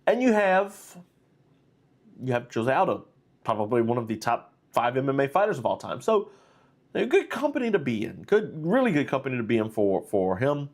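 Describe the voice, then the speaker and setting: A man with a stuffy, nasal voice from being under the weather, hosting a casual live stream. He rambles through his fight breakdown, circling back with fillers and false starts. His pitch sits at a middling level. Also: big pitch swings, moderate pace. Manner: rambling, with many fillers and restarts. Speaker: host.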